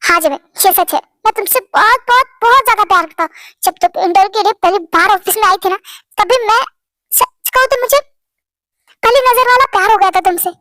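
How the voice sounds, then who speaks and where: A man's voice: extremely high pitch, fairly quick, sharp tone. A narrator voicing an earnest, lovestruck male boss who makes heartfelt pleading declarations. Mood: tender. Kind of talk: storybook drama reading.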